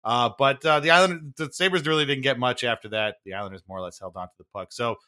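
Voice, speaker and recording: A male sports podcast host with a bright, forward voice at an ordinary pitch. He recaps games casually, in a conversational and slightly wry way, often qualifying what he says. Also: fast. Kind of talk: casual hockey game recap chat.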